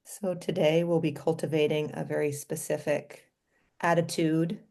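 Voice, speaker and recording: A woman guides a meditation in a low, slightly gravelly voice with a soft, mellow tone. She speaks gently and invitingly, leaving space between short phrases. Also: flat delivery, moderate pace. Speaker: doctor leading a guided meditation.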